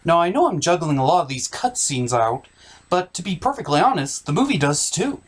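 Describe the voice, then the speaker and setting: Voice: mid-range voice, big pitch swings, brisk. A theatrical, exaggerated host narrating a scripted comedic movie recap.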